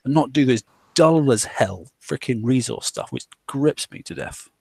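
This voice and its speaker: A man talking loosely in a relaxed group discussion. He rambles, circles back and stacks up fillers and restarts. His voice sits at an ordinary male pitch on a dry, close microphone. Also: moderate pace, vocal fry, husky, no room sound. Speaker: podcast host.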